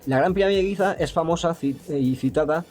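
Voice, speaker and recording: A man explaining geometry step by step, pausing and restarting often and stumbling when he reads English passages aloud. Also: a little high, a little mellow, faint music behind. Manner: hesitant, with frequent restarts and fillers. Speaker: math explainer.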